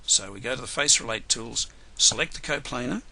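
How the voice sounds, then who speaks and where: A hoarse man runs a live software webinar, working through steps with plenty of ums and restarts. His voice is gravelly and husky, yet sharp and forceful in tone. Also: mid-range voice. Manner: unhurried, many fillers and restarts. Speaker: software trainer.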